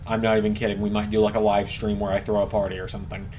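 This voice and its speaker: A man commentating play-by-play on a wrestling video game as channel host, with announcer-like, drawn-out emphasis. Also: on the low side, little pitch movement, darkish tone, soft background music. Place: voice-over recorded at a computer over gameplay.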